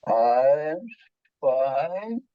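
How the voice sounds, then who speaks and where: A man playing a gloomy, self-pitying, excitable Austrian psychiatrist in a play reading, lamenting dramatically in broken English with an Austrian accent. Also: medium pitch, very slow, dry recording, muffled recording. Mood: exasperated.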